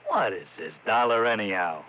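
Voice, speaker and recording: An American actor playing a defensive small-time ex-convict in a 1950s radio drama, agitated and protesting in uneducated street talk. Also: male voice, lowish voice, expressive, moderate pace, natural voice. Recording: muffled 1950s radio recording.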